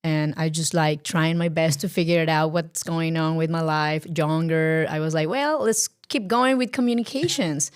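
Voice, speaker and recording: A young Colombian woman speaking English with a Colombian Spanish accent, talking in a halting way with many fillers as she searches for words in a casual interview. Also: sits low, steady tone, clean tone. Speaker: podcast guest, filmmaker.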